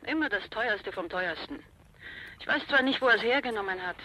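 Wanted: radio-play sister, forceful husky tone, clipped answers, guarded and defensive. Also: female voice, medium pitch, animated, brisk, poor audio. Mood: guarded, bitter. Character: dead man's curt, guarded housekeeping sister. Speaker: actor in an audio drama. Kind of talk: German crime radio play acting.

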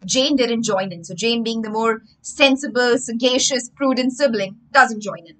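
A young woman, telling a story to children as a livestream English lesson, theatrical and emphatic, pausing to explain words. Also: a little high, low-quality recording. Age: young adult. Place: indoor room with bookshelves.

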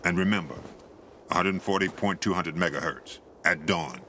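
Deep-voiced weathered older male wasteland pilgrim. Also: normal speed, bright, forceful tone, slight background noise. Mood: warm, parting. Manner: laid-back, teasing, wistful. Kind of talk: naturalistic video game dialogue acting. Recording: music under the dialogue.

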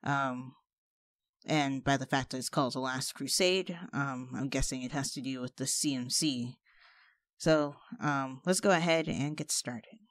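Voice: mid-range voice, measured pace, a bit bright, no echo. A commentator giving a casual, musing blind reaction as host of the channel, with a hesitant way of talking.

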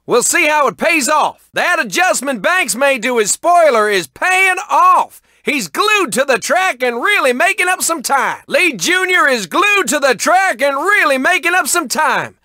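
An excitable cartoon race announcer for a video game. The voice is very high and piercingly bright, swinging widely in pitch. The delivery is folksy, showy sports commentary. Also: male voice, measured pace, few pauses, no room sound, clean studio sound, exaggerated voice. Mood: lively commentary.

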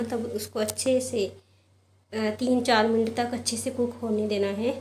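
A woman talks viewers through a home recipe in a chatty, friendly voice, calling them friends. Her voice sits a bit high. The sound is roomy and echoey, with a faint hiss behind it. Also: a little mellow. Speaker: cooking channel host. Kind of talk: casual recipe voice-over. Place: home kitchen.

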